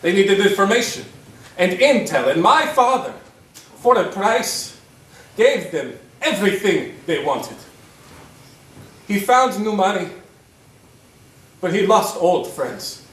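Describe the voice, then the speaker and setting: Actor as bitter prisoner, high-pitched male voice. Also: very animated, slow, long pauses, fairly bright tone, exaggerated voice. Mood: bitter remembrance. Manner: intense, dramatic delivery. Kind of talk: stage play acting. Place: theatre stage, recorded from a distance. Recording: echoey stage, distant microphone.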